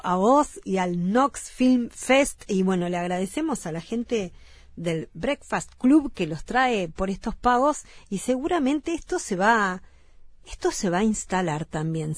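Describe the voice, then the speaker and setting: A woman from Uruguay with a Rioplatense accent, hosting a radio interview in a lively, slangy way and repeating event details. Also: mid-range voice, a little slow, no room sound, studio quality. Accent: Rioplatense Spanish. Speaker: radio host. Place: radio studio. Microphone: studio mic.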